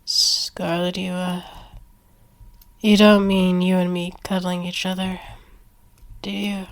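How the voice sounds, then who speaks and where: A woman's voice: fairly low pitch, level tone, takes it slowly. A woman performing an acted ASMR roleplay.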